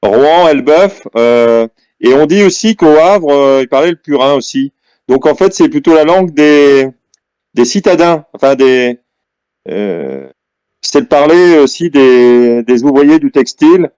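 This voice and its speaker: Halting man on a dry video call. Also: mid-range voice, lively intonation, a little mellow, clear, resonant tone, no echo. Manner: halting, restarts a lot. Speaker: participant. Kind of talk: casual video-call chat.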